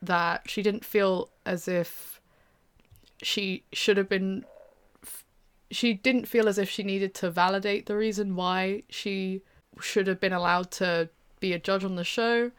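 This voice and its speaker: A woman's voice: medium pitch, fairly even intonation, a little slow, clear, resonant tone. A young British woman chatting casually with a co-host, hesitant, with many fillers and restarts. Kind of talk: casual chat.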